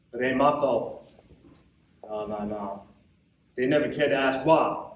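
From far off in a very echoey hall comes an actor's portrayal of a bitter, wounded young American man. His voice is high for a man. He delivers a theatrical monologue with colloquial phrasing, heavy dramatic pauses and impassioned hurt. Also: lightly acted. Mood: resigned, bitter. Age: young adult. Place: theatre stage at a festival.

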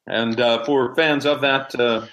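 A man's voice: mid-range voice, steady tone, unhurried, fairly bright tone, slight background noise. A man speaking as an interview guest, giving casual explanations in conversation. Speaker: interview guest, society co-founder.

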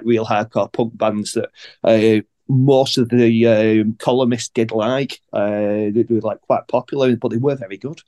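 A man's voice: medium pitch, darkish tone. Rambling, slangy man talking music. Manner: rambling, hesitant, slangy, sweary. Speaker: podcast guest selector. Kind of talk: casual chat about music.

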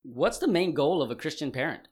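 A man's voice: on the high side, expressive, a little fast. A man hosting a casual conversation, speaking informally and expressively. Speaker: host.

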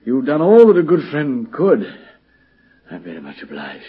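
An actor playing a shrewd, sickly-sounding British detective, theatrical and refined with a breathy, husky voice, in an old-time radio drama. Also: male voice, medium pitch, wildly swooping pitch, normal speed, exaggerated voice. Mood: weary, courteous. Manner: theatrical, refined, swinging from murmurs to urgency. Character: shrewd, commanding detective feigning grave sickness. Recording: muffled vintage radio broadcast recording.

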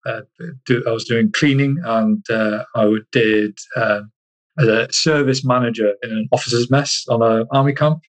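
Halting man from Iceland, mid pitch. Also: fairly even intonation, low-quality recording. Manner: halting, searching for words, repeats himself. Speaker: interview guest, army soldier. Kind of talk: casual interview chat.

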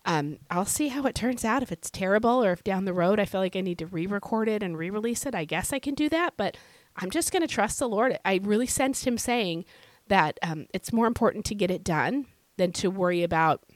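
A woman hosting a devotional podcast, her voice scratchy and hoarse from illness, teaching in an earnest, conversational way. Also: mid-range voice, animated, fairly quick, no room sound. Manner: earnest, conversational, with fillers and repeated phrases. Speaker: podcast host.

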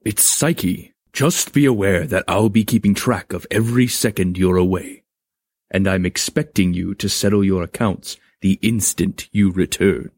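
A doting lord of the underworld as a man acts him out for a storybook. He speaks low, with polished formality and dry playfulness, in a close, dry sound. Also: measured pace, darkish tone, no echo. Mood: tender, teasing. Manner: suave, formal, drily playful.

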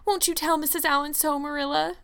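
A woman voicing an excitable, easily mortified young orphan schoolgirl in a melodramatic, wordy storybook style. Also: high voice, fairly quick, pitched above the performer's own voice. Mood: despairing, sobbing. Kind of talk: storybook character voice.

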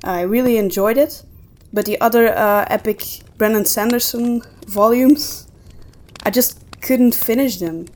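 A woman with a medium-pitched voice talks casually as a podcast co-host. She feels her way through opinions in halting phrases, thinking aloud. There is some noise behind her voice. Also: darkish tone. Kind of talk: casual book discussion.

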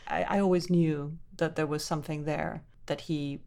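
Low, gravelly-edged woman author from Venezuela. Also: steady tone, normal speed, dark tone. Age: middle-aged. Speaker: author being interviewed as guest. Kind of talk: reflective personal storytelling in interview.

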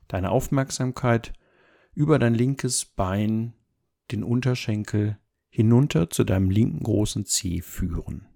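Calm guy doing a guided body scan, soft mellow voice with lots of gravelly fry. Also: fairly low pitch. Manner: calm, gentle, unhurried with measured phrases. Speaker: meditation guide.

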